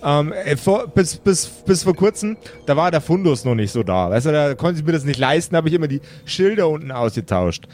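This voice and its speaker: A man's voice: on the high side, big pitch swings, a little fast, few pauses, darkish tone, faint music behind. A man playing a chatty, irritable necromancer in improvised tabletop role-play, rambling and repeating himself in crude slang. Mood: boastful, rambling. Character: chatty, brash, irritable necromancer running a business.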